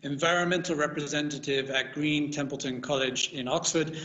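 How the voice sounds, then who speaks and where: This is a man acting as panel moderator, his voice set a little high. He reads prepared introductions formally, with measured flourishes. The room echoes around him and the audio is somewhat dull. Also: sharp tone.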